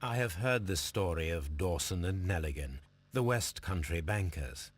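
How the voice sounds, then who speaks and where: A man playing a composed, analytical middle-aged Victorian detective in a video game, speaking formally and deliberately with a British accent. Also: deep voice, animated, heavy background noise. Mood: focused inquiry. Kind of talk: video game dialogue and musing aloud.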